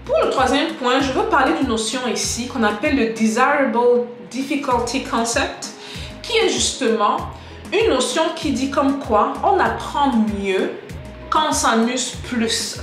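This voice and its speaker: A woman giving a casual spoken lesson to camera, lively and direct, talking straight to her viewers. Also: high voice, measured pace. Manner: lively, direct, addresses viewers as "les gars"; switches into English example sentences. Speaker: English teacher on a video channel. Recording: echoey room with faint music.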